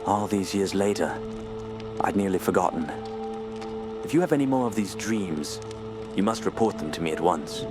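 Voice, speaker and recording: Stern elderly male academic, gruff and intense, widely swinging intonation, for audio horror drama over music. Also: low voice, animated, normal speed, pauses often. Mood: haunted, intense. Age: older. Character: stern, troubled old male archaeology professor. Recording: loud music bed behind.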